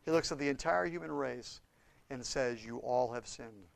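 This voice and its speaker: A man preaching a sermon with impassioned swings between loud and hushed delivery. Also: mid-range voice, moderate pace, creaky, dry recording. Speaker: pastor.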